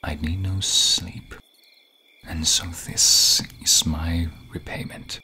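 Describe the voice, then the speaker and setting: A man voicing a gentle, grateful snake-man guardian in an ASMR roleplay, speaking in a breathy whisper with formal, archaic phrasing. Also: deep voice, level tone, takes it slowly, exaggerated voice. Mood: soothing, protective.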